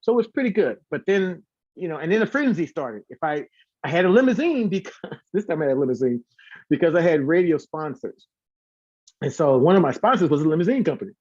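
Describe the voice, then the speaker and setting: A man's voice: a little high, very animated, fast talker, a little mellow, husky, no echo, dull audio. A man telling stories casually as an interview guest, animatedly acting out the dialogue he quotes. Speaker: singer and former radio host. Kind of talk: casual storytelling.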